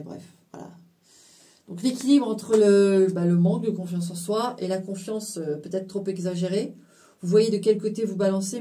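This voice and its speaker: A woman with a low, mellow voice gives a rambling tarot reading, stopping and restarting her sentences. She sounds a bit far from the microphone, with some room around her voice. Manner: halting, full of fillers and restarts, mixes in English phrases. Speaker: tarot card reader. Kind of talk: casual spiritual card reading to viewers.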